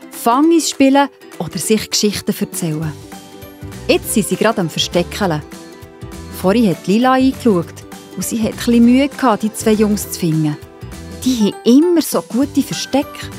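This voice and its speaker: A woman's voice: a little high, a little mellow. A woman with a Swiss accent narrating a scripted children's story.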